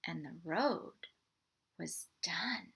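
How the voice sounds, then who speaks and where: Bright, husky woman reading a storybook slowly. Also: on the low side. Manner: deliberate, expressive reading with asides to listeners. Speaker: storybook read-aloud presenter. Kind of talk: picture book read-aloud for children.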